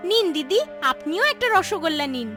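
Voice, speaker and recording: A woman's voice: very high voice, expressive, a little fast, bright, forceful tone, soft background music, heavily acted. A woman voicing a polite, helpful young sister-in-law in a fairy-tale cartoon. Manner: attentive, helpful.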